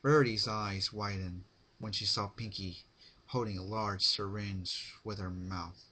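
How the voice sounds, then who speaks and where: A man's voice: on the low side, bright, forceful tone. A man narrating a horror fan-fiction story as an amateur dramatic reading.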